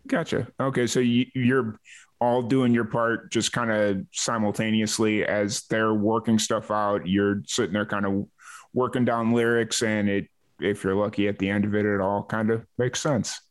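A man hosting a casual music interview, halting as he talks and often repeating words. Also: on the low side, little pitch movement. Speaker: host.

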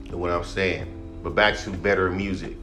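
Male podcast host, 30s, rapper; blunt profane rant, self-described monotone delivery, faint music bed. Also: low voice, moderate pace. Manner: blunt, heated, heavy swearing.

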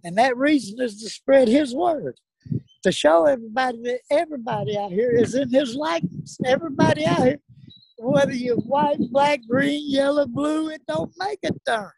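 A man's voice: extremely high pitch, moderate pace, gravelly, darkish tone, dry recording, poor audio. Earnest middle-aged man, dry sound. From South Dallas, Texas. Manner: earnest, emphatic, plain-spoken. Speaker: guest. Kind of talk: casual interview talk, preaching.

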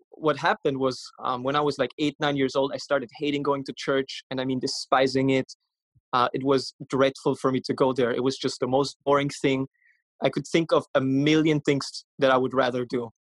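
A man's voice: mid-range voice, level tone, moderate pace, dry recording, low-quality recording. A man in his twenties from Austria, a podcast guest telling his faith story earnestly and casually. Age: 20s. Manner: earnest, warm-hearted storytelling with fillers. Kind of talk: casual testimony.

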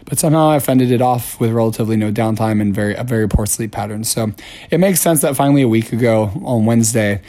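Hoarse man hosting a podcast. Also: mid-range voice, fast talker, dark tone. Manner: candid, chatty, self-deprecating. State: hoarse, lost voice. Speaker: podcast host. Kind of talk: casual solo podcast talk.

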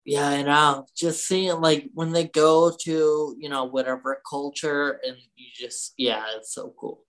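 A woman's voice: deep voice, fairly even intonation, a little slow, hardly any pauses, rough recording. A woman co-hosting a podcast in casual chat, laid-back, mostly giving short agreeing replies. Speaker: podcast co-host.

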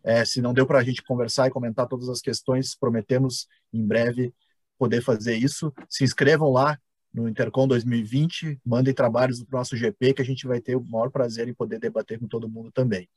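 Husky Brazilian man moderating a panel. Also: medium pitch, flat delivery, brisk, a little mellow, dry recording. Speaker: host and moderator of an online panel. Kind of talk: informal academic live-stream moderation.

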